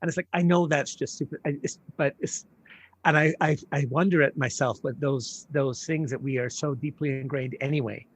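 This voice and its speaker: A man hosting a casual interview in a slightly high, husky voice. He thinks aloud as he talks, halting and restarting his sentences. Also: fairly even intonation. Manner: thoughtful, hesitant, restarts often. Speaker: host.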